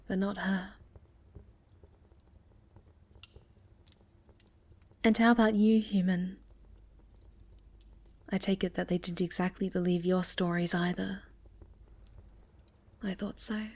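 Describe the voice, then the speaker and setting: A woman playing a sweet, shy young moth girl in an ASMR roleplay, speaking gently and hesitantly, often dropping to a breathy near-whisper. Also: mid-range voice, level tone, measured pace, pauses often. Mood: lonely, gentle. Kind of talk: ASMR roleplay, soft intimate storytelling to the listener.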